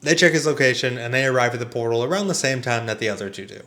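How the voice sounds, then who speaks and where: A man's voice: medium pitch, quick pace, pushed and bright. A man delivering a scripted, comedic movie review with a sarcastic manner. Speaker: video essayist and film reviewer.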